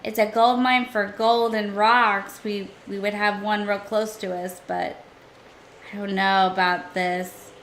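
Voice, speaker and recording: A woman streaming a survival game, chatting casually and thinking aloud to her viewers, her voice a little hoarse. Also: medium pitch, flat delivery, a little slow, a bit bright, a little hiss or hum. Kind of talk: casual gameplay commentary.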